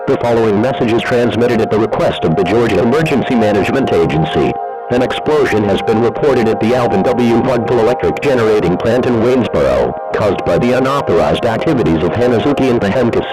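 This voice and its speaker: A man reading a scripted emergency evacuation announcement in a formal, measured, automated-sounding manner. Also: fairly low pitch, expressive, over loud music, rough recording.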